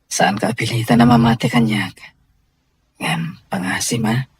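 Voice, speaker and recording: A man playing a sincere young gentleman employer in an Ilocano radio drama, with an Ilocano accent and a breathy voice. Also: lowish voice, huge pitch swings, normal speed, slight background noise. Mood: tender, earnest. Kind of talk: Filipino radio drama acting.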